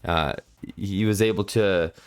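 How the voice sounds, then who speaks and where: A man giving casual, unscripted commentary as a reviewer, rambling and halting as he goes and often repeating himself. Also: low voice, clean tone. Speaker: manga chapter reviewer.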